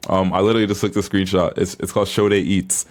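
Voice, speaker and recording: A man's voice: deep voice, level tone, fast talker. A young man vlogging as a bodybuilder, chatting casually to camera in a hyped, informal, slangy way.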